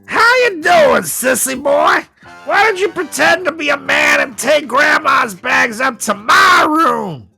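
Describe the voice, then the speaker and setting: A man voicing an angry, belittling old grandmother who shouts insults in a comedy story. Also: very high-pitched, expressive, slow pace, vocal fry, soft background music, voice pushed up, full character voice. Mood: angry, mocking.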